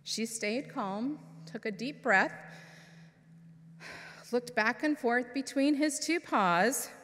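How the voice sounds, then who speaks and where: Female storyteller for all ages, highish voice, slow delivery with dramatic pauses, long hall reverb. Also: a bit bright, slight background noise. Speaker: minister reading a children's story. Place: church service in a large hall.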